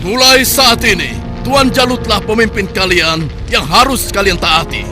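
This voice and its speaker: A domineering army commander. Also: male voice, sits high, unhurried. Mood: commanding. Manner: barking orders, proclamatory. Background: music.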